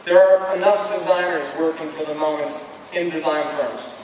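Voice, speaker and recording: A man giving a formal commencement address from a podium, speaking in a measured, earnest, deliberate way. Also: on the high side, steady tone, a crowd in the background. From American Midwest. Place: large stone hall with flags, indoors. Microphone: podium microphone, filmed from far off. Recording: echoey hall from far off, audible background noise.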